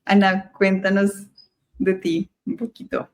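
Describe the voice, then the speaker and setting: A woman's voice: mid-range voice, monotone, slow pace, a little hiss or hum. A woman hosting a podcast, opening the show and asking friendly questions. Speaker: podcast host.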